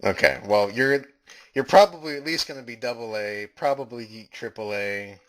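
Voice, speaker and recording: A man's voice: mid-range voice, normal speed, poor audio. A man acting as an interviewer in a comedy skit, asking earnest, formal questions. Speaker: mock interviewer. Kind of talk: improvised mock interview.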